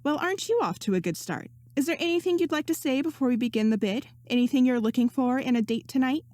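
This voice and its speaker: A woman's voice: high voice, animated, fast talker, dark tone, no echo, studio quality. A woman playing a poised, showy female charity auctioneer who works the crowd with a projected announcer delivery in a story reading. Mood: playful, welcoming.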